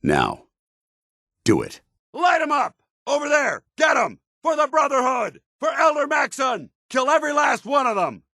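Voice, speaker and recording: An American actor playing a stern, disciplined armored soldier in a video game, speaking in a formal, clipped, commanding way. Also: male voice, very high voice, wildly swooping pitch, normal speed, sharp tone, no room sound, clean studio sound, full character voice. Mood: solemn, resolute, then fierce battle shouting. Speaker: video game companion character. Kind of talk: scripted game dialogue and combat barks.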